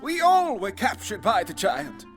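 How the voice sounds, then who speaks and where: A man's voice: high-pitched, huge pitch swings, measured pace, faint music behind, heavily acted. A man playing a pompous, wordy, fretful bug scholar in a children's audio drama, with theatrical, pedantic delivery. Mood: solemn.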